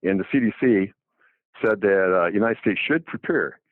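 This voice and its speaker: A man's voice: on the low side, moderate pace, dry recording. A man in his seventies, a doctor, talking casually on the radio with many restarts and fillers. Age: 70s. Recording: phone-like dry narrow line.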